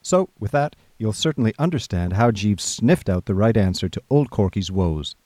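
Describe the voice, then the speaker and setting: An actor as breezy, low-voiced young gentleman narrator. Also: lively intonation, moderate pace, no room sound. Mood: rueful, chatty. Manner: breezy, discursive, old-fashioned upper-class phrasing. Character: Bertie Wooster, chatty idle young gentleman narrator. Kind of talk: radio drama first-person comic narration.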